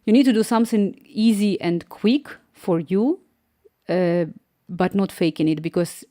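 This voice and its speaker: A woman's voice: medium pitch, a little slow, warm tone, no room sound, studio quality. A young woman from Ukraine with a Slavic accent, chatting casually on a live stream, laughing often and restarting words as she answers viewers.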